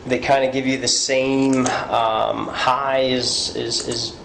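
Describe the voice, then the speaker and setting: A man's voice: mid-range voice, level tone, takes it slowly, creaky. Male cop doing an interrogation, keeps probing n repeating stuff back, echoey room, bit of noise behind. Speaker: police interrogator. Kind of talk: recorded police interview questioning. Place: indoor police interview room.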